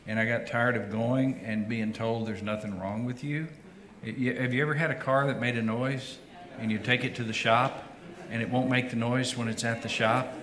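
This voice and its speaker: A man's voice: medium pitch, fairly even intonation, normal speed, fairly bright tone. A man who is a pastor, chatting casually about his recent heart surgery, joking and rambling with many restarts and filler words. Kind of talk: casual chat. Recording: echoey room with faint noise.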